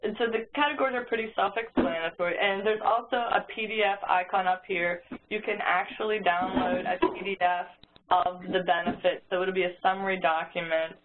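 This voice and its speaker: A woman's voice: mid-range voice, steady tone, brisk. A woman presents over a conference call and talks listeners through a resource one step at a time. Her voice comes through a thin, tinny phone line with a faint hiss behind it. She often restarts her sentences as she goes. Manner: explains step by step, with frequent restarts and fillers.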